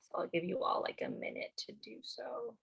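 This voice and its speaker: A woman's voice: on the high side, normal speed, creaky, a bit bright, husky, whispered. A woman hosting an online community info session in a plain announcing way, switching between two languages. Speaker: event host. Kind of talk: bilingual event moderation.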